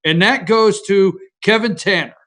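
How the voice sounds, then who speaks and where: Moderator, man, quite high pitched voice, direct questions. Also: unhurried, fairly bright tone. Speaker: debate moderator. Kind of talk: moderating a political debate.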